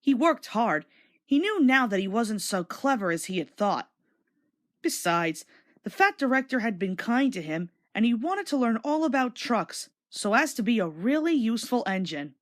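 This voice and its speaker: A woman's voice: a little high, big pitch swings, moderate pace. A woman narrating a children's storybook read-along from a script.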